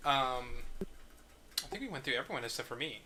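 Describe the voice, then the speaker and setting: A man hosting an online group script discussion in a casual, conversational way. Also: mid-range voice. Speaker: host of an online script table read. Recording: bright, noisy voice-call microphone.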